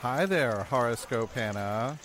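An actor playing a cocky newspaperman who needles with drawn-out sarcasm in a radio drama. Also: medium pitch, animated, fairly bright tone, a little hiss or hum. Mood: teasing. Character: teasing wisecracking newspaperman, sardonic and cocky. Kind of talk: 1940s radio drama acting.

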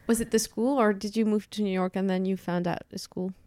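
A woman interviewer who asks calm, direct questions. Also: mid-range voice, a little fast.

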